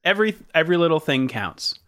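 A man's voice: on the high side, big pitch swings, quick pace, fairly bright tone. A man hosting a podcast, reading the intro and chatting in a playful, upbeat way. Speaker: podcast host. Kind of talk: casual chat and show intro.

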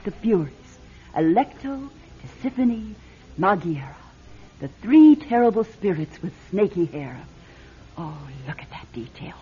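An actress playing a proud, shifty middle-aged fortune-teller in a classic radio drama, with a theatrical, grand manner. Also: female voice, mid-range voice, big pitch swings, unhurried, mellow, breathy edge, full character voice. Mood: hushed, proud. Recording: dull lo-fi radio drama recording.

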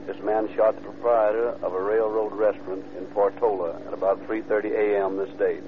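Male actor as 1930s radio police dispatcher: official, clipped, low voice, muffled noisy recording. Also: fairly quick, lightly acted. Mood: official, urgent. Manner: clipped, formal, flat delivery.

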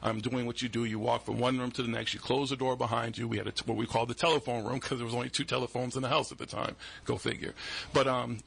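A man's voice: medium pitch, steady tone, quick pace, gravelly, a bit bright, slightly rough. A man, a podcast guest telling rambling stories in a studio and acting out the voices in them. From Boston. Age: 40s. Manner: rambling, restarts often, acts out quoted voices. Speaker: guest, security business owner. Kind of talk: casual storytelling interview.

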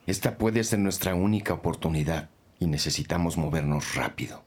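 A driven, blunt detective for a crime audio drama. His voice is very deep and he talks with command. A faint ambience sits behind him. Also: brisk, slight background noise. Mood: resolute. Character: blunt, driven male police investigator hunting cartel bosses.

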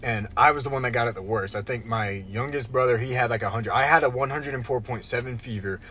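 A young man of middling pitch rambles through a story in a casual podcast chat, doubling back on himself and throwing in "bro" and "man." A faint hiss sits under his voice. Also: quick pace, bright, forceful tone. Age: young adult. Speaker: podcast co-host.

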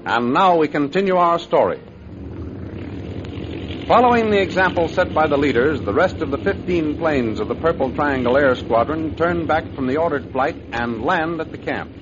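A man's voice: fairly high pitch, expressive, normal speed, noisy background. A man announcing a 1930s radio serial in formal, declamatory scripted narration. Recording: dull old radio recording.

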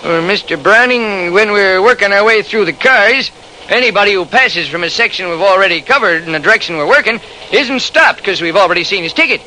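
An actor playing an earnest, deferential train conductor in an old-time radio drama, explaining himself with eager emphasis. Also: male voice, sits high, lively intonation, brisk, bright, forceful tone, a little hiss or hum, full character voice, poor audio.

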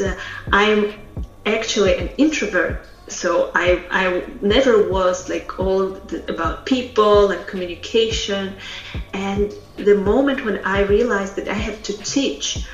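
A woman from Russia, a public speaking trainer telling her story as an interview guest, slow and thoughtful with many hesitations. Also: mid-range voice. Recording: roomy echo, dull lo-fi sound, faint music.

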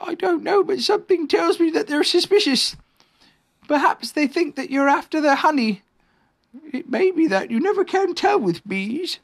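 Reader doing a silly little storybook bear, way up high, earnest n halting, swoopy pitch. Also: animated, gravelly. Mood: worried. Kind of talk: children's storybook character voice.

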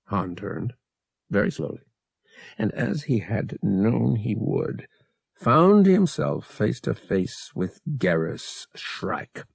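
A man reads fiction as an audiobook narrator, dramatic and deliberate. His pitch moves expressively over a slow pace, and the voice has a husky grain. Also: very animated, no room sound. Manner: deliberate phrasing with dramatic pauses.

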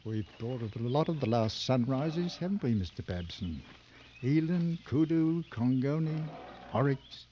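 An actor playing a seasoned, world-weary professional hunter in an old radio drama, terse and commanding. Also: male voice, mid-range voice, lively intonation, a little slow, dark tone, natural voice. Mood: musing, weary. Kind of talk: 1940s-50s radio drama acting. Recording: dull vintage radio recording, faint noise.

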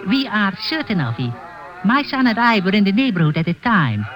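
A man's voice: high voice, very animated, brisk, a bit bright, faint music behind. A man playing an anxious, secretive Middle Eastern bazaar merchant in an old-time radio drama, speaking formally and deferentially. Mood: guarded, earnest. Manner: formal, deferential, foreign phrasing. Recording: vintage radio broadcast, background noise.

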